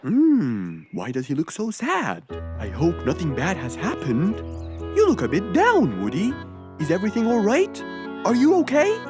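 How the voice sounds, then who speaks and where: A woman's voice: on the low side, wildly swooping pitch, normal speed, over music. A woman voicing a kind, helpful male fox in a children's cartoon, with an exaggerated, reassuring, sing-song delivery. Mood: concerned.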